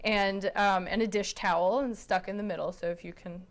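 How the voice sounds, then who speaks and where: A woman's voice: medium pitch, steady tone, normal speed. A woman speaking as a guest puppeteer, explaining and demonstrating in a hesitant, stop-start way. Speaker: guest puppeteer and theatre director.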